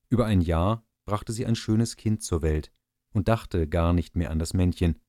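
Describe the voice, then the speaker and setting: A man narrates a fairy tale in a calm, measured way. His voice is low and dark, with a slow, even pace. The recording is dry and close to the mic. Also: no echo. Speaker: audiobook narrator.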